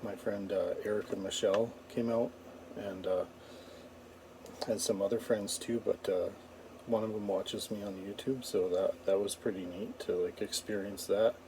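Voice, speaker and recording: A Canadian man giving a casual, unscripted vlog recap in a halting, rambling way. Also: on the low side, steady tone, mellow, a little hiss or hum, low-quality recording. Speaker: comedian vlogger.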